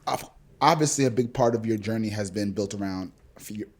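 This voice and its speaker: A man's voice: on the low side, brisk, a little mellow. An American man co-hosting a casual podcast interview, speaking in an affirming, emphatic way.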